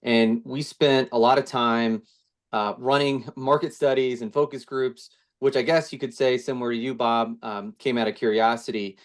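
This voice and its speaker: Man, video call, casual interview answers, middle-pitched voice, frequent restarts. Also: normal speed. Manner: relaxed, many restarts and fillers. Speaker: co-founder of a real estate firm.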